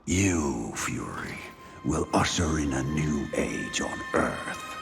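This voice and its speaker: An ominous warrior herald in a video game. He is extremely deep and gravelly, intoning slowly and solemnly. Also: lively intonation, full character voice. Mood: portentous. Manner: portentous. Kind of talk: video game cutscene acting. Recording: music behind.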